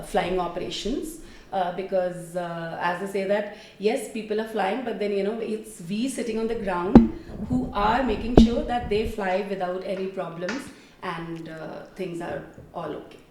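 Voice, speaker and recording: A woman's voice: medium pitch, fairly even intonation, normal speed, very noisy. Middle-aged female interview guest, Indian accent, explains things conversationally, roomy echo, a little off the mic. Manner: explanatory, with frequent "you know" asides. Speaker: interview guest, former military air traffic controller. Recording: roomy echo, distant microphone.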